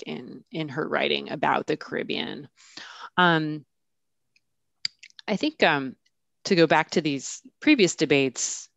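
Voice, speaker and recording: Woman, gravelly, dry close sound, academic discussion, thoughtful, restarts often. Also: deep voice, no echo. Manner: thoughtful, many restarts and "I mean". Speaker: literary scholar.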